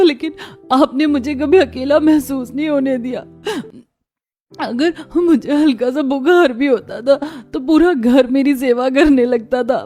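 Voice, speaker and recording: A woman's voice: high-pitched, dark tone. A woman voicing a meek, long-suffering young daughter-in-law in a cartoon family melodrama, tearful and pleading. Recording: music under the voice. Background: background music.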